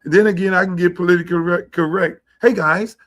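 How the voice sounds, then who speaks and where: Blunt, profane high-voiced man host. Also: flat delivery, very fast. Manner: profane and blunt, slangy, jumps between impressions of people. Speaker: show host. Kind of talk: casual livestream rant. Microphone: streaming setup.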